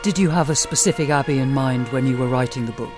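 A man hosting a book club program, introducing questions and readings in a brisk presenter's way. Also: medium pitch, music in the background. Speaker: radio book club host. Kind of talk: presenting and reading listener emails.

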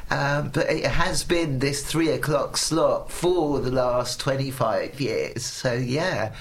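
A middle-aged man hosting a radio show, chatting in a relaxed, unhurried way. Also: mid-range voice. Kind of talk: casual radio interview chat.

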